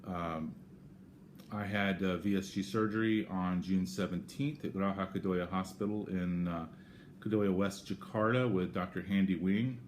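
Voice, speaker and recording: An American man talking casually and unscripted to camera in a rambling, halting way, often correcting himself as he gives a personal update. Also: low voice, steady tone. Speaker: vlogger giving a personal health update. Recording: clear background noise, room ambience.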